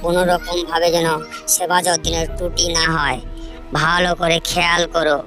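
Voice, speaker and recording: A man's voice: fairly high pitch, little pitch movement, moderate pace, sharp tone. A man voicing a greedy, outwardly pious village landlord in a cartoon folk tale, commanding and self-important. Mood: dutiful, commanding. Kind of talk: Bengali animated folk-tale dialogue. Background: music.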